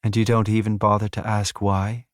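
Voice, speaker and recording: A man voicing Death as a calm, aloof woman in a fantasy audiobook, speaking plainly and with restraint. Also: fairly low pitch, dry recording, clean studio sound, natural voice. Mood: plainly reminding. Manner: plain, measured, subdued. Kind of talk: fantasy romance audiobook character.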